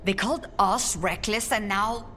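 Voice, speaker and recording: An actress playing a resolute, solemn leader of an assassin guild in a video game, speaking in a measured, commanding way. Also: female voice, medium pitch, pushed and bright, slight background noise. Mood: grave, indignant.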